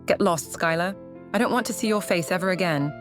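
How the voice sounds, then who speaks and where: A woman's voice: on the low side, fairly quick, soft background music, close to an everyday voice. A woman playing a fiery, protective young warplane pilot in an audio drama, blunt and urgent in manner. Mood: angry. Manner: blunt, urgent, sharp-tongued.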